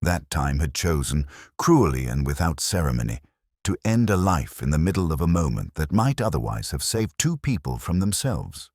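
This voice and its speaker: A man playing a melancholy older detective inspector, narrating case notes in a measured, reflective, literary way. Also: extremely low pitch, darkish tone, husky, no room sound, clean studio sound, full character voice. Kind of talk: scripted first-person literary narration.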